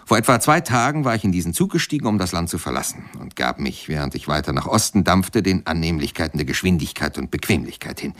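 A man's voice: low-pitched, big pitch swings, fast talker, few pauses, a bit bright. An actor playing a traveller who narrates his memories in a formal, ornate way in a literary radio play. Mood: measured, wry. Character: male narrator, a traveller recalling jazz years.

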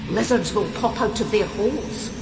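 A woman playing a stern older headmistress in a radio drama, giving measured, precise admonishments. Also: medium pitch, vocal fry, very noisy, natural voice. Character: stern older English headmistress, exacting and sententious.

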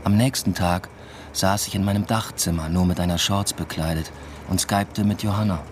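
Actor as very deep-voiced, reflective male student. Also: fairly even intonation, moderate pace, a little hiss or hum, close to an everyday voice. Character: aimless, evasive male German doctoral student narrating his New York stay. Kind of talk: literary radio drama, first-person narration and dialogue.

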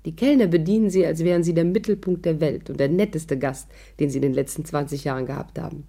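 A woman's voice: low-pitched, steady tone, fast talker, warm tone. An actress playing a witty, spirited, worldly woman in a 1950s radio drama, wry and articulate as she narrates and talks. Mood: lively storytelling.

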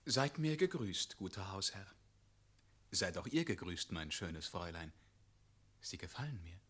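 An actor playing an earnest wandering knight in a radio play, courteous and resolute, with a deliberate, questioning manner. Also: male voice, deep voice, expressive, a bit bright, breathy edge, heavily acted. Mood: courteous, hesitant. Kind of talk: theatrical radio play acting.

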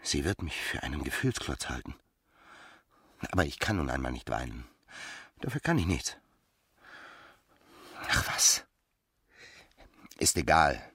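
A man's voice: extremely low pitch, sing-song, measured pace, pauses often, sharp tone, slightly rough, no echo, clean studio sound, exaggerated voice. A man playing a self-centred, callous bachelor lover in a radio drama, with theatrical, self-justifying delivery. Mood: exasperated self-pity.

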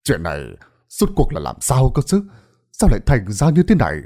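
A man voicing an older village elder who asks worried, puzzled questions in a measured way. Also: mid-range voice, wildly swooping pitch, a little mellow.

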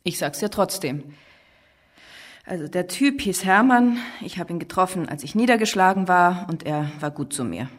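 A woman's voice: lowish voice, expressive, normal speed. An actress in a radio crime drama playing a frank pregnant woman, direct and slightly defiant with an old flame. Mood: defiant, confessional.

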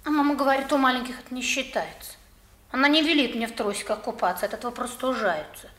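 Casting: bold young girl for 1960s radio drama, high-pitched, brash, roomy sound. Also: fast talker, a bit bright. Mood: brash, carefree. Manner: cheeky, blunt, challenging.

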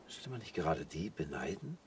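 Very deep, gentle, wry man. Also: very animated, normal speed, heavily acted. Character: calm, wry, protective island recluse, a man. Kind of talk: German radio play acting. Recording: clearly audible background ambience. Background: ambience noise.

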